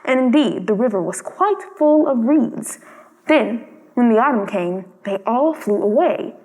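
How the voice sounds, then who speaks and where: A woman reads a story aloud as a theatrical narrator. Her voice is on the high side and swings expressively through each carefully phrased sentence. Long reverb surrounds it, as in a hall. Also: expressive, measured pace, a little mellow. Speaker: storybook reader.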